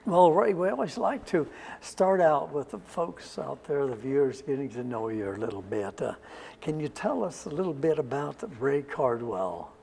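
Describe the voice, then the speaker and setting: A man hosting a local TV arts interview, speaking in a halting way with a formal, welcoming style. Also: mid-range voice, expressive, vocal fry, darkish tone, in a large hall, slight background noise. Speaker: TV arts show host.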